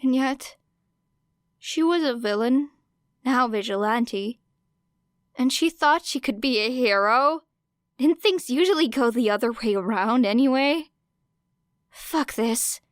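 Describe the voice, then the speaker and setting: A woman reading fan fiction as an audiobook narrator, with an expressive, wry and dramatic delivery. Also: on the high side, slow, pauses often, no room sound, studio quality.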